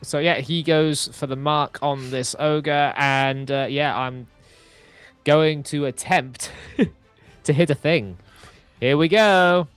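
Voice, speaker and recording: Mid-pitched man playing a tabletop game. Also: fairly even intonation, slow, a bit bright, smooth, dry recording, faint music behind. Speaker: player in a tabletop roleplaying game. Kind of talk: casual, thoughtful game talk.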